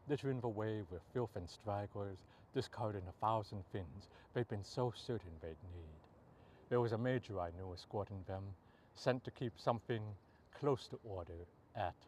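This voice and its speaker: A man reading poetry aloud as a poet, in measured, deliberate short phrases. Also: fairly low pitch, darkish tone, slight background noise. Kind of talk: poetry reading, recited free verse.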